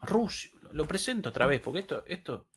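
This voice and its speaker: A man's voice: a little high, very animated, fast talker, a bit bright. A man hosting live political commentary on a broadcast. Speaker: political show host.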